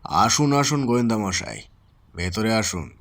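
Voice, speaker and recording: A man's voice: on the low side, big pitch swings, normal speed, a bit bright. A man playing a courteous, concerned physician in a Bengali audio drama, with a polite, deferential and dramatic delivery. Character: courteous, concerned male physician questioned in a murder case.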